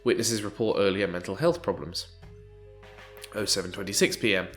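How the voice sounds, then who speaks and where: A man's voice: fairly low pitch, normal speed. A man with a stuffy nose from hay fever, chatting wryly while playing through a video game and reading its text aloud. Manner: wry, chatty. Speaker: let's play host. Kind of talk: casual gameplay commentary. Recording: game music faintly behind.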